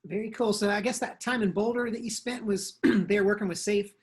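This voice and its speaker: A man hosting a podcast interview in a relaxed, casual way. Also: high-pitched, fairly quick, slightly rough. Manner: laid-back, uses "man" and "very cool". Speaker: podcast host.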